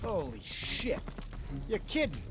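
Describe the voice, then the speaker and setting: An actor playing a brash, sardonic American wartime pilot in a cinematic war game. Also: male voice, high-pitched, huge pitch swings, slow, very noisy, exaggerated voice. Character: cocky, defiant American navy pilot in wartime. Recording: loud music behind dialogue.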